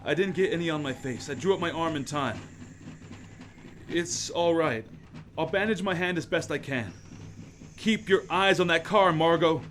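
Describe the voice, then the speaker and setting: A determined crime-fighting hero, played by a man in a community radio play. His voice sits fairly high, with an urgent, commanding delivery. A faint hiss runs under it. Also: normal speed, pauses often, fairly bright tone, natural voice. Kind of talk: amateur radio play acting.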